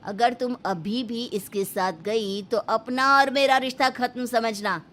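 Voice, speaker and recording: A woman's voice: a little high, measured pace, a bit bright. A woman voicing a threatening, reproachful husband in a Hindi cartoon family drama.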